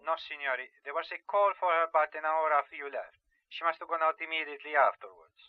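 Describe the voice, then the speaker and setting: An actor playing a courteous, precise hotel receptionist in an audio drama, speaking formally over the telephone. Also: male voice, on the high side, fast, no echo. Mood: polite. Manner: polite, formal, careful. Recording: dull, band-limited telephone line.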